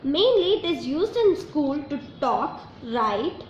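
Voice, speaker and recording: A woman with an Indian English accent gives an earnest, measured statement as an interviewee. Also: sits high, big pitch swings. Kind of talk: prepared statement. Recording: echoey, dull and slightly noisy.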